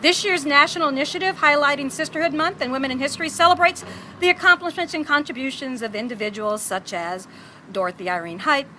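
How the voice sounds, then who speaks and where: A woman's voice: sits high, pushed and bright, a little hiss or hum. A woman, a district director formally reading a proclamation at a ceremony. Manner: measured and formal, light laughs. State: slightly hoarse.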